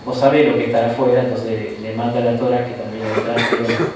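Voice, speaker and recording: A man with an Argentine accent teaching a Bible class in a relaxed lecture style, often checking in with the room through "¿no?" and short asides. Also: medium pitch, brisk, background noise. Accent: Argentine Spanish. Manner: conversational, frequent "¿no?" and "¿tá?" tags, asides. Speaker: bishop teaching a Bible course. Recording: echoey room, mic far off.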